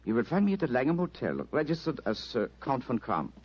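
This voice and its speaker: A man's voice: mid-range voice, huge pitch swings, a little fast, breathy edge, lo-fi sound. An actor playing a proud, troubled king in a radio drama, formal and solemn with a hesitant delivery. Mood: anxious, grave. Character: grave, anxious proud king seeking help.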